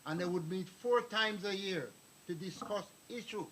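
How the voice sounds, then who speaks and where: A man's voice: sits high, moderate pace, a bit bright, slight background noise, poor audio. An older man giving a rambling, anecdotal address to a community meeting, calling on people in the room. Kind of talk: public address to an audience. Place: meeting hall.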